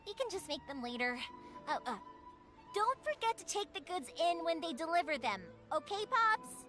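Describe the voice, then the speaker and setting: Game heroine, young and warm-hearted, very high animated voice, chatty and casual. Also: female voice, moderate pace, heavily acted. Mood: easygoing, fond. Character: cheerful, warm-hearted young woman, fireworks maker and doting daughter. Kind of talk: English video game character acting. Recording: music playing behind the voice.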